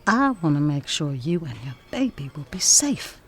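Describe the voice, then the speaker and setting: A woman's voice: sits low, very animated, moderate pace, breathy edge, soft background music, full character voice. A woman acting a troubled, earnest young daughter with strong principles in an audio drama. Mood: concerned, firm.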